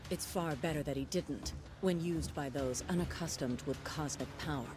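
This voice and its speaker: Actor as solemn, higher-voiced cosmic hero. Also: male voice, normal speed, noisy background, close to an everyday voice. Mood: grave warning. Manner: formal, grave. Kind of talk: video game cutscene acting.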